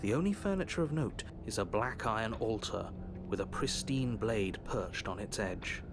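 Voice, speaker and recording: Brief: a dry, scolding British narrator, lively pitch swings, low voice, game music behind. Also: male voice, normal speed, noisy background. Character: prim, stern British male narrator.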